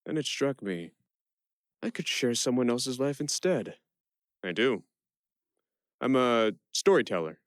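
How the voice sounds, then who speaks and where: A man playing a quick-witted prisoner who narrates his own tale in a first-person audio play. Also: mid-range voice, very animated, no echo, clean studio sound. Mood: reflective, then conversational.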